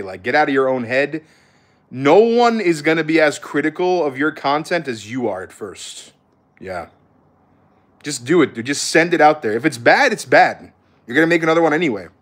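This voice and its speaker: A man's voice: mid-range voice, a bit bright. A man with an American accent chatting casually as a streamer, answering questions in a blunt, slangy, conversational way. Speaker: streamer, content creator. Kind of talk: casual livestream chat, answering viewer questions.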